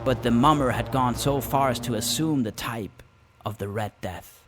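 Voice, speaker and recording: A man reads a classic horror tale aloud as dramatic live storytelling, delivering it solemnly and deliberately in measured phrases. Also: medium pitch, a crowd in the background. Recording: live one-take reading with loud music. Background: loud music under the voice.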